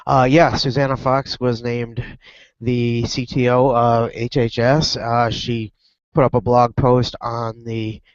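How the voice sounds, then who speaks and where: A man's voice: mid-range voice, flat delivery, takes it slowly, dry recording. A man reporting in a casual web broadcast, his voice stuffy from a cold. He rambles with fillers and restarts. The audio is muffled and lo-fi, with a dry sound. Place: airport lounge.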